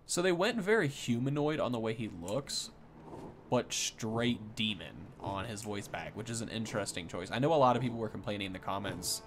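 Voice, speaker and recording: Lively, low-voiced young man commentating a game. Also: fairly quick. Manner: rambling, thinking aloud. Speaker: gaming video host. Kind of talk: casual unscripted game commentary. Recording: clear background noise from game. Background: video game sounds.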